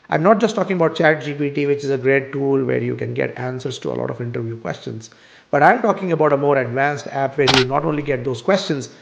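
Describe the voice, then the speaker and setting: A man's voice: a little high, vocal fry, muffled recording. A man hosting a podcast as career coach, greeting viewers and asking his guest questions with small fillers. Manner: friendly, asks questions with fillers. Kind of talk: podcast interview.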